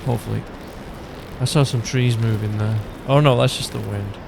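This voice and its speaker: A man's voice: lowish voice, steady tone, normal speed. A man giving casual gameplay commentary, loosely thinking aloud as he plays. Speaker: gaming video host. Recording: clear game noise behind voice. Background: game ambience.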